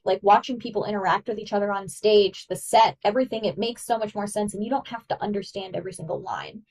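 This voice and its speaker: Chatty woman podcaster, distant mic. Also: medium pitch. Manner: fast, filler-heavy, excitable. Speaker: podcast co-host. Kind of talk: enthusiastic explaining in casual chat.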